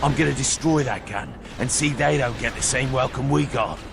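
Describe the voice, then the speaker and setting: A man's voice: medium pitch, moderate pace, creaky, loud music behind the voice, lightly acted. A man playing a bitter, insubordinate soldier in a video game, with a British accent and a blunt, sarcastic manner. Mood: surly, sarcastic. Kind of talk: video game dramatic acting.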